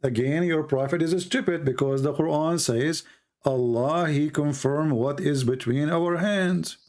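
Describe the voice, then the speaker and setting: A man's voice: a little high, a little slow, a little mellow. A man whose first language is Arabic, speaking English with an Arabic accent, arguing insistently as the host of a heated debate. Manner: combative, insistent, repeats words for emphasis.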